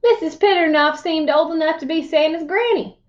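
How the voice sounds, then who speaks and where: A woman's voice: very high-pitched, lo-fi sound, pitched above the performer's own voice. A woman voicing a crotchety old country man who spins tall tales in a folksy, emphatic way for a storybook. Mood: folksy, earnest. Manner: folksy, emphatic, drawn-out exaggeration. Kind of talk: storybook read-aloud character voice.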